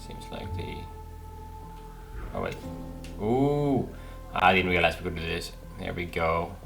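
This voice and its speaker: A male streamer comments casually on a game with soft music behind him. His deep voice is full of fry, and he sounds tentative, thinking aloud. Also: animated, slow pace. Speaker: gaming streamer.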